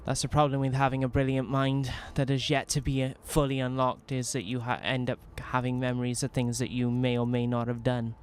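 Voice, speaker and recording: A young man from Wales with a softened Welsh accent, streaming a role-playing game in rambling, self-mocking chat full of restarts. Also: mid-range voice, level tone, brisk, hardly any pauses, slight background noise. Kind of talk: casual in-character role-play commentary.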